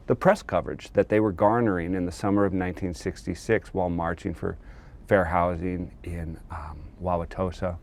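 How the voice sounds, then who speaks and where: A man speaking as an interviewed historian, explaining in an earnest, measured way. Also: low-pitched, darkish tone. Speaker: historian and author being interviewed.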